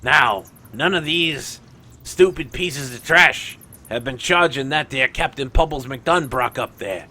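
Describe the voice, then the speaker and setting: A man voicing a grizzled, battered old dwarf gangster with a gravelly voice and folksy tough talk in a tabletop game. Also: medium pitch, measured pace, sharp tone. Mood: gruff, defiant. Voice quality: gravelly, creaky.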